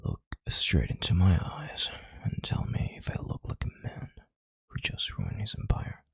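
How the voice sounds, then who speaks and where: A man playing a calm, controlling, arrogant chief executive in an intimate audio roleplay, with slow, deliberate, condescending authority and a hushed delivery. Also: no echo, studio quality, heavily acted. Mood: firm, reassuring. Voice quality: deep, gravelly, breathy half-whisper. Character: calm, controlling, arrogant CEO soothing his analyst.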